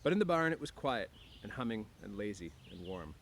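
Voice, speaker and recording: A man's voice: on the low side, sing-song, brisk, a bit bright. Male teacher, outdoors with background noise. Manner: frequent throat clearing. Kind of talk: casual chat to students and book read-aloud. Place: outdoors near woods.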